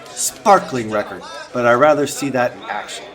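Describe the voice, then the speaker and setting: Man playing a stern, gruff police captain. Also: mid-range voice, moderate pace, a bit bright, a faint crowd, close to an everyday voice. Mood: stern, measured. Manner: firm, commanding, clipped. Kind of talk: 1920s detective radio drama acting.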